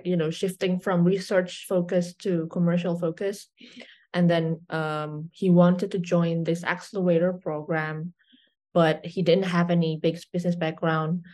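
A young woman from Indonesia, a startup founder, answering interview questions in a relaxed, hesitant way with many fillers and restarts. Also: lowish voice. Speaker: startup CEO guest.

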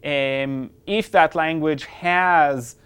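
A man's voice: on the high side, drawn-out words, fairly bright tone, clean tone. A man whose first language is Hebrew, presenting an upbeat, explanatory screencast tutorial on classroom apps. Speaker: educational technology presenter.

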